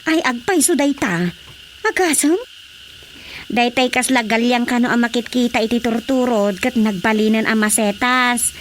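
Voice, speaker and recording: An actress playing a gossipy village woman in a radio comedy, chatty and expressive, with an Ilocano accent. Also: a little high, moderate pace, slight background noise, lightly acted. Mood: chatty, amused.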